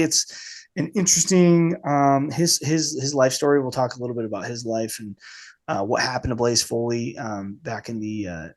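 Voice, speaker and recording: A man hosting a casual film podcast, speaking informally and haltingly with a slight cold in his voice. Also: mid-range voice. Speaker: podcast host.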